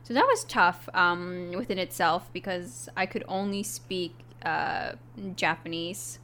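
A woman's voice: on the low side, unhurried, pushed and bright, a little hiss or hum. A young woman talking casually about her work, hesitant with small restarts. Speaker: co-host, English teacher. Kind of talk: casual chat.

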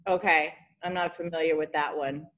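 A woman's voice: deep voice, fairly even intonation, moderate pace, lo-fi sound. Woman, podcast host, casual chat, rambling, encouraging, searching for words, video call.

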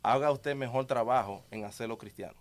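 A man's voice: medium pitch, a little fast. Emphatic Dominican man talking faith. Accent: Dominican Spanish. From Dominican Republic. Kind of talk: conversational religious talk.

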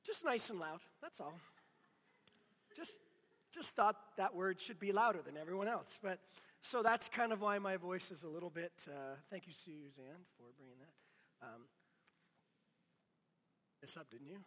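Hoarse, bright-voiced preacher man. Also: high voice, expressive, unhurried, long pauses, long reverb tail. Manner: conversational, self-deprecating, many restarts. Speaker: pastor. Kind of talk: casual sermon.

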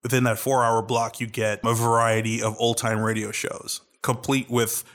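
A man's voice: mid-range voice, flat delivery, moderate pace, a bit bright. A man co-hosting a podcast, chatting casually and enthusiastically. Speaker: podcast co-host. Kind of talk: casual chat.

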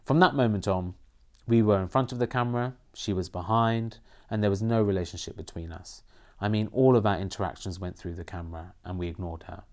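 A man's voice: lowish voice, a little fast. A man from Iran whose first language is Persian, answering interview questions earnestly about acting in a film. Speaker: film protagonist, interview guest.

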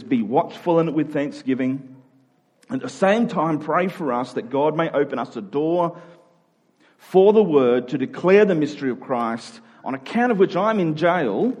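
Male pastor, slightly raised pitch, conversational sermon, informal plain-spoken phrasing, emphatic repetition. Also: a little mellow. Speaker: pastor preaching to a congregation. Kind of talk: sermon, conversational expository preaching.

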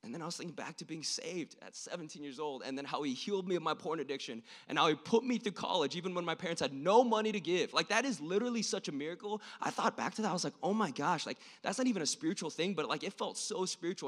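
Young guy preaching, kinda high voice, super casual slang, goes from quiet to fired up. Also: expressive, fast. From born in South Korea. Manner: informal, youthful slang, frequent "like" and rhetorical questions; swings from hushed to loud, impassioned delivery. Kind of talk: sermon to a young congregation.

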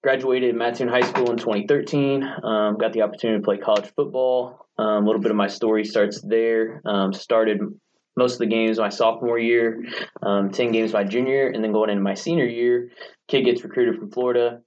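A man in his late twenties, a gym owner, telling his story as a podcast guest in a relaxed, filler-heavy way. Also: on the low side, a little fast. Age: late 20s. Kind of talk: casual interview storytelling. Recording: dull lo-fi sound with faint noise.

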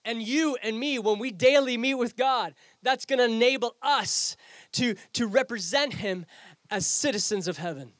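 A man's voice: very high-pitched, moderate pace, a bit bright, no room sound. A man pastoring an outdoor service, preaching a sermon with a Canadian accent, earnest and prone to repeating and restarting phrases. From England.

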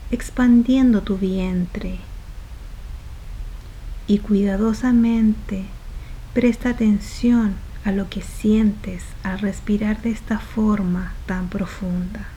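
Slow, mellow woman guiding a meditation. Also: medium pitch, dull audio. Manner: soft, unhurried, phrase by phrase with trailing breathy endings. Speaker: meditation guide. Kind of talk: guided meditation.

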